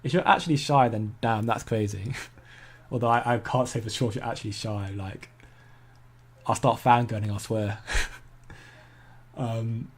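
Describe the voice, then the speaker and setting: A man's voice: on the low side, fairly even intonation, unhurried. A man, hosting a casual crypto livestream and answering viewer comments in a chatty, self-correcting way. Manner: chatty, self-correcting, laughs easily at chat.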